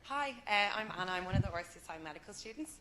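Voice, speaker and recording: A woman's voice: medium pitch, expressive, rapid-fire, background noise. A young woman medical student, talking politely and hesitantly through a practice exam with plenty of fillers. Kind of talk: role-play exam demo.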